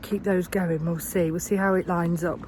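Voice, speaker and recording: A woman's voice: on the low side, fairly even intonation, normal speed, darkish tone. A woman in her thirties with a head cold, talking to camera outdoors on her allotment. She rambles in a friendly, unscripted way, full of ums and restarts. A faint hiss of outdoor noise sits behind her voice. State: has a cold. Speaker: gardening vlogger. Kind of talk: casual vlog chat.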